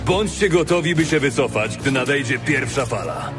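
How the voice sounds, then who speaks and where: Man acting stern holy knight commander, urgent orders, swooping mid-range pitch, fantasy audio drama, music behind. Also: expressive, moderate pace, natural voice. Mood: urgent, commanding. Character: commanding male paladin general, urgent and stern.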